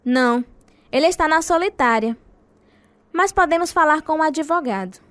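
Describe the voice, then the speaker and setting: A woman's voice: sits high, expressive, moderate pace. A woman acting an earnest, devout wife and mother of a prisoner in a radio drama. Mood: anguished. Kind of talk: radio drama narration and dialogue. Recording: music playing behind the voice.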